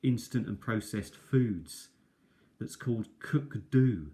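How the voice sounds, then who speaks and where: A man's voice: fairly low pitch, expressive, takes it slowly, warm tone. A man hosting an unscripted, casual show-and-tell review, speaking in a hesitant, rambling way and often correcting himself. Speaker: host of a cassette collection review video. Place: kitchen table, indoors. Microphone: tablet built-in microphone.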